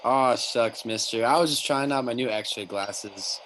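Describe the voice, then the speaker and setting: A man's voice: medium pitch, a little slow, a bit bright, slight background noise, close to an everyday voice, rough recording. A man playing a polite, sheepish small-town boy in an old-time radio commercial. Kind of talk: 1930s radio commercial acting.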